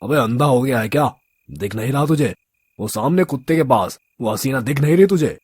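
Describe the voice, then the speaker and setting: A man's voice: medium pitch, expressive, brisk, darkish tone, slightly rough, dry recording, studio quality. A man playing a drunk, lecherous local thug boss in a story, leering and drawling street slang. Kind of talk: Hindi audio story character acting.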